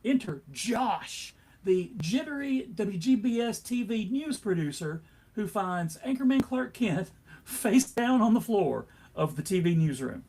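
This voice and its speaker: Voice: mid-range voice, expressive, a little slow, mellow, breathy edge. A narrator reading scripted comedic narration in a wry, theatrical manner.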